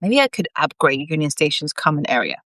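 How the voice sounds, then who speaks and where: A woman's voice: sits low, sing-song, brisk, a bit bright, no echo, clean studio sound. A woman voicing a flustered, determined male cook in a story, exclaiming and talking to himself. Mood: musing.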